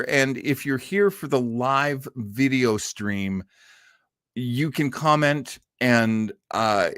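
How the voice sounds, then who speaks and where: A man's voice: mid-range voice, fairly bright tone, no echo, studio quality. A man chatting casually as the host of a livestream, rambling in a self-deprecating, easily amused way. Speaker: host of an old-time radio livestream.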